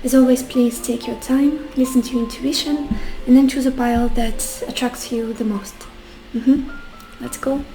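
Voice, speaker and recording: A woman, a little sick and losing her voice, giving a gentle tarot reading to her viewers and musing aloud with soft hesitations. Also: sits high, fairly even intonation, moderate pace, faint music behind, poor audio. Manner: gentle, addresses viewers warmly as beautiful souls. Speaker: tarot reader.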